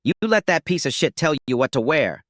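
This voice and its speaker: Guy acting a betrayed angry husband in an audiobook, accusing, sarcastic, really swingy pitch, dry sound. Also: sing-song, fairly quick, no room sound. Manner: confrontational, sarcastic, forceful.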